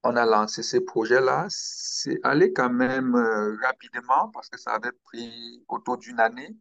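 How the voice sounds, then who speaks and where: A young man from Cameroon, speaking slowly and hesitantly as an interview guest about his own story. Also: mid-range voice, steady tone, gravelly, fairly bright tone, no room sound, muffled recording. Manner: slow, hesitant, with pauses and restarts. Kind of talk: interview, personal account.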